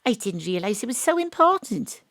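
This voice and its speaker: An actress playing a wry, brisk female amateur detective who narrates and questions with dry asides, recorded from a home studio. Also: fairly high pitch, big pitch swings, a bit bright, dry recording. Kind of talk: live remote audio drama acting.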